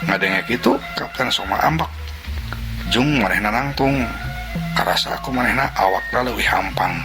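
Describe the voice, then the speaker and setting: A man's voice: medium pitch, lively intonation, normal speed. A man with a Sundanese accent narrating a folk tale vividly, voicing its sound effects himself. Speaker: storyteller. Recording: narration over loud music.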